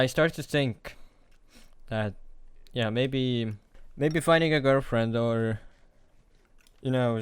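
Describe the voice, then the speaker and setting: A young man in his late teens talking alone to the camera in a hesitant, halting, self-deprecating way, as a confessional vlog. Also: mid-range voice, clear, resonant tone, no echo. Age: young adult. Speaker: vlogger.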